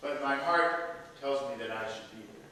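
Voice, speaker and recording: Actor as exasperated grown son, echoey stage. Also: male voice, mid-range voice, moderate pace, pushed and bright, natural voice. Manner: sardonic, reproachful. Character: exasperated, resentful, reluctantly loving grown son. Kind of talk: stage play dialogue. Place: theatre stage, recorded from the audience area. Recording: echoey theatre hall, distant mic, faint noise.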